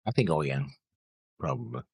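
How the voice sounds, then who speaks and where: A man co-hosting a reaction show, bantering casually in a halting, rambling way and often trailing off. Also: very deep voice, expressive, vocal fry, slightly rough, dry recording, studio quality. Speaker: co-host of an anime reaction show.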